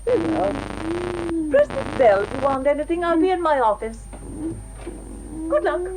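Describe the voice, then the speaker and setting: A brisk British woman doctor in a radio play. She is detached and matter-of-fact, explaining things in articulate clinical terms. Her voice sits fairly high and swings widely in pitch. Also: big pitch swings, music in the background, poor audio. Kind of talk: British radio drama acting.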